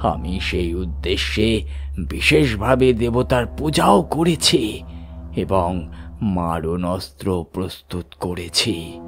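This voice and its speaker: A man acting a slow, solemn village priest. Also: on the low side. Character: solemn village priest warning of a bone-eating demon. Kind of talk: dramatised Bengali audio horror story.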